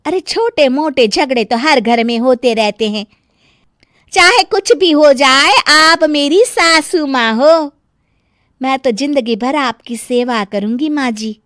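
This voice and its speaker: A woman's voice: high voice, slow, fairly bright tone, no echo, studio quality. A woman playing a sassy young village-born daughter-in-law in an acted family story, with a drawling, emphatic delivery. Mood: coaxing, affectionate. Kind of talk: acted Hindi family story cartoon dialogue.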